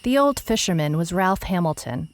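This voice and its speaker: An actress plays a world-weary female private eye with a low voice. She narrates in a scripted noir audio drama style, dry and deadpan, with wry understatement throughout. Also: moderate pace, natural voice. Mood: dry, matter-of-fact. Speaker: narrator, lead actor.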